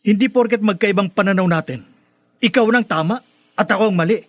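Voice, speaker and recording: Easygoing Filipino older brother, higher-pitched male voice. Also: fairly quick, no echo. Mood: mocking, defensive. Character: easygoing, teasing, self-assured older brother. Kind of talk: Filipino radio drama acting.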